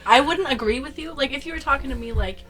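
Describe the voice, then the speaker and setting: A woman's voice: sits high, quick pace. A young woman co-hosting a comedy podcast, speaking emphatically with casual swearing. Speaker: podcast co-host.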